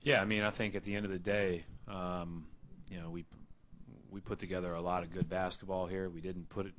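A young man speaking as head coach at a postgame press conference into a table mic, measured and full of fillers and restarts. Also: low voice, level tone, a bit bright, a little hiss or hum. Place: arena press room.

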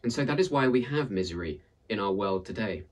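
A man in his thirties with a husky voice, reading and presenting a book as an expressive storyteller. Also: fairly low pitch, brisk, distant mic. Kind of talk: expressive read-aloud storytelling and book introduction. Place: indoors in front of a purple backdrop.

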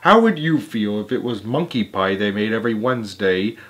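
A man's voice: fairly low pitch, expressive, normal speed, natural voice. A plump, squeamish bird acted out by a man from a children's storybook. It chides others in a polite, fussy way, sounding easily offended. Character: squeamish, chiding roly-poly bird.

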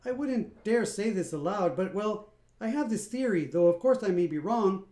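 A man's voice: high-pitched, lively intonation, normal speed, dark tone, voice pushed up. A man reading a picture book aloud, voicing a small, timid male alien who mumbles hesitantly. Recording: roomy echoey room.

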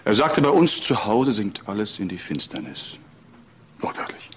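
An actor playing a polite department head, courteous and halting, choosing his words carefully in a crime radio drama. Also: lowish voice, very animated, a little hiss or hum. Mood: hesitant, grave. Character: polite, hesitant male department head, formal gentleman.

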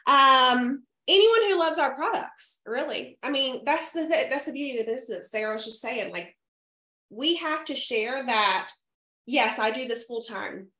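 A woman in her thirties, a little hoarse from a cold, coaching her sales team over a webcam in an upbeat way, often checking in with the listeners. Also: high-pitched, animated, moderate pace, sharp tone. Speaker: direct sales team leader. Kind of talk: training talk to a sales team. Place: home room, indoors. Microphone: webcam on a video call. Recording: echoey room.

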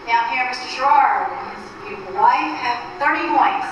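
High-voiced woman emcee in a very echoey room. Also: animated, moderate pace, highs cut off. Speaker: game host at a class reunion. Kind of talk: live quiz show emceeing. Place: large indoor hotel function room. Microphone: distant camera mic. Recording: roomy, distant mic, loud room noise.

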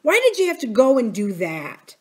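A woman's voice: sits high, huge pitch swings, moderate pace, a little mellow, breathy edge, voice pushed up, exaggerated voice. Woman voicing a blunt, exasperated young boy. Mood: reproachful, firm. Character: loyal, exasperated, plain-spoken boy.

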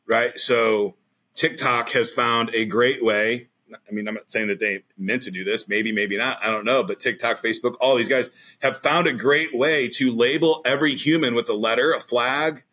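A man's voice: mid-range voice, steady tone, normal speed, sharp tone, slightly rough. A man in his forties, a podcast guest, talking in a rambling, emphatic way over a video call from a room at home. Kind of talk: casual interview talk.

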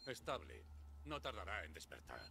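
Brief: stern space-station marshal, low, lively pitch, bright and brusque. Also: male voice, moderate pace, vocal fry, slight background noise, exaggerated voice. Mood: curt. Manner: curt, brusque. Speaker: station marshal in a video game. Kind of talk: dubbed video game dialogue.

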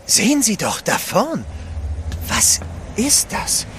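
An actor playing a nervous, deferential manservant in an adventure radio play, with jittery politeness. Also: male voice, high-pitched, wildly swooping pitch, slow, sharp tone, a little hiss or hum, heavily acted. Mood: awed, anxious.

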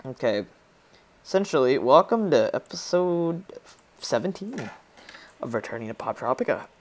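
A man's voice: mid-range voice, sing-song, moderate pace. A teenage boy from Poland with a Polish accent, joking and rambling as he plays a game and comments. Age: teens. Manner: joking, rambling, reads game lines in put-on voices. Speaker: gaming YouTuber. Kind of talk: casual let's play commentary.

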